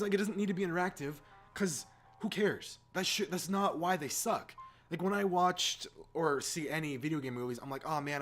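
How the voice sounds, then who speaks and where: A man's voice: fairly high pitch, a bit bright. A man in a casual, exasperated rant as a gaming video host, informal and sweary. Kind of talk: casual opinionated rant to camera or mic. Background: background music.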